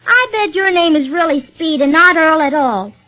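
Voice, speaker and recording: Very high-voiced inquisitive little girl. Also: expressive, moderate pace. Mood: curious. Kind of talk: old-time radio serial acting. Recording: muffled vintage radio recording.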